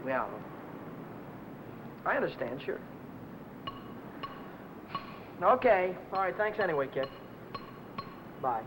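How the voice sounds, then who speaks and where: An actor playing a restless young auto mechanic short of money, with an American accent and breezy, slangy talk in old crime film dialogue. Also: male voice, high-pitched, sing-song, unhurried, over music. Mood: anxious, pleading. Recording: noisy old 1950 film soundtrack.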